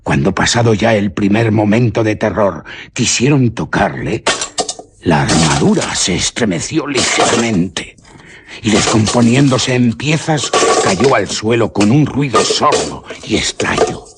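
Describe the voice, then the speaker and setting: A man narrating a suspense radio story in a solemn, deliberate manner. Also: lowish voice, animated, heavy background noise.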